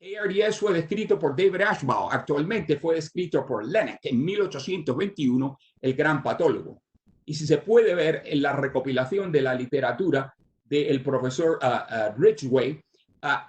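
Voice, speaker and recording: Halting older Cuban-American surgeon lecturing. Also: male voice, a little high, expressive. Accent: Cuban Spanish with American English influence. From Cuba, raised in the United States. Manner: halting, with many "ah" fillers and restarts. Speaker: surgeon giving a conference talk. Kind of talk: medical lecture.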